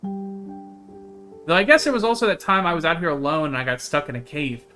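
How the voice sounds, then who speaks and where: A man's voice: a little high, big pitch swings, moderate pace, sharp tone, soft background music. A man voicing a chatty, carefree young woman in a visual novel, in a breezy, rambling storytelling manner. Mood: breezy recounting. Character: chatty, carefree young woman who hikes hunting cryptids.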